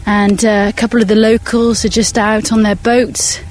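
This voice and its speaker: A woman's voice: a little high, level tone, normal speed, darkish tone. A woman reporter recording a descriptive, reflective audio diary outdoors by a river.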